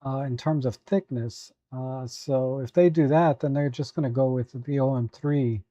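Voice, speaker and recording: A hoarse man hosting a live photo editing tutorial, talking at an easy, halting pace with many fillers while answering viewers. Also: medium pitch, mellow, dry recording, muffled recording. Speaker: photo editing livestream host.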